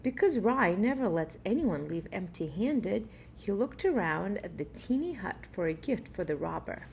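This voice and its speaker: Middle-aged female storyteller, slow and animated in pitch, recorded at home with faint noise. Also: creaky. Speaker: reader of a children's picture book. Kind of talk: expressive read-aloud storytelling. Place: room at home, indoors.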